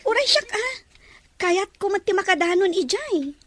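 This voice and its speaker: A woman's voice: extremely high pitch, big pitch swings, moderate pace, a bit bright, full character voice. A woman voicing a chatty, teasing and hesitant teenage girl in an audio drama, with an Ilocano Filipino accent. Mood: teasing, coy.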